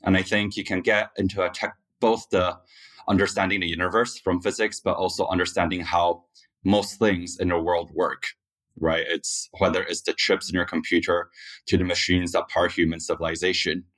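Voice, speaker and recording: A man in his thirties from Taiwan, a startup founder giving measured answers in an interview. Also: sits low, little pitch movement, fairly bright tone.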